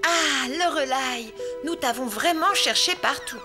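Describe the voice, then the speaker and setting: A woman's voice: high voice, unhurried. A woman voicing a sweet, admiring animal hospital worker in a children's cartoon, with a caring, earnest manner. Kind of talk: French-dubbed children's cartoon acting. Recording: music behind the voice.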